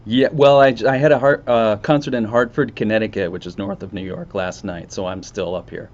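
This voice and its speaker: A man from the USA, a frame drummer, chatting in a relaxed way over a video call. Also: on the low side, brisk. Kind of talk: casual chat. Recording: dull lo-fi call audio.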